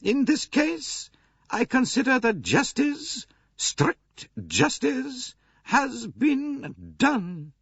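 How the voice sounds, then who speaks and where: Imperious, high-pitched old Russian princess. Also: male voice, big pitch swings, a bit bright, breathy edge, dry recording, muffled recording, full character voice. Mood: contemptuous, defiant. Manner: dry, haughty, slow deliberate delivery.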